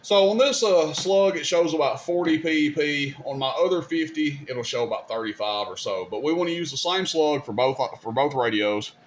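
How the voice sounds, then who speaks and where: A man's voice: fairly high pitch, moderate pace, hardly any pauses. A man hosting a casual hands-on demonstration, speaking in an informal, folksy and opinionated way. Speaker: host demonstrating radio gear. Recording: clear background noise, room ambience.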